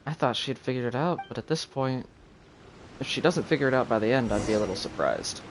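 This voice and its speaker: A man's voice: medium pitch, animated, a little fast. A man streaming a game with casual, offhand commentary, often muttering to himself as he thinks things through. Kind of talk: casual live gameplay commentary. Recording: noisy live stream with game audio.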